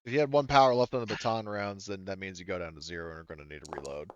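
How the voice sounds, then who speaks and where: A man acting as game master of a tabletop roleplaying game, talking casually and haltingly as he thinks aloud. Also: deep voice, highs cut off, slight background noise.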